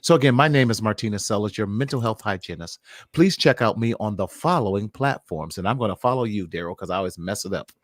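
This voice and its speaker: A man's voice: fairly low pitch, animated, fast, no room sound, clean studio sound. A man hosting a mental wellness show, talking to viewers in an affectionate, encouraging, motivational way. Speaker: podcast host. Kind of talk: warm motivational talk to online viewers.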